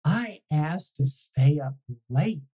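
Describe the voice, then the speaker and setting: Husky storybook pigeon, acted by a man, indignant, pleading, very slow, swooping pitch. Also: animated, warm tone, exaggerated voice. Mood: pleading, boastful. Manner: pleading, theatrical, drawn-out delivery. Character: indignant, dramatic pigeon who begs and complains. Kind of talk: animated storybook read-aloud.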